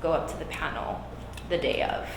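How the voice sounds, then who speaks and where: A woman running for a school board seat, whose first language is Spanish, answering questions earnestly with frequent pauses and fillers. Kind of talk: candidate interview. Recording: echoey room, background noise.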